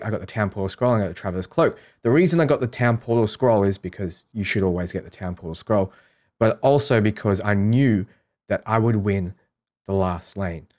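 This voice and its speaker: A man's voice: lowish voice, a little mellow. A man giving casual, explanatory commentary on a game, talking through his strategy as a streamer.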